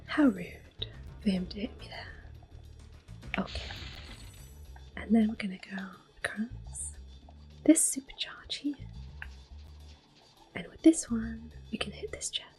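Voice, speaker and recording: A woman whispering soft-spoken commentary while she plays a video game for an ASMR audience, close to the microphone. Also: on the high side, expressive, takes it slowly, long pauses, soft background music. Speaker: ASMR gaming host.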